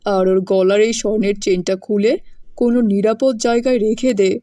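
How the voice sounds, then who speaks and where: A woman's voice: medium pitch, fairly even intonation, normal speed, dark tone, no echo, clean studio sound, voice pushed down, lightly acted. A woman voicing an earnest, worried father of young daughters in a cartoon story. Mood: secretive.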